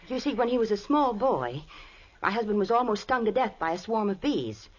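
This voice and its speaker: An actress in a 1940s radio drama playing the nervous young wife of a reclusive professor, flustered and pleading. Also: female voice, mid-range voice, big pitch swings, fairly quick, poor audio. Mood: earnest.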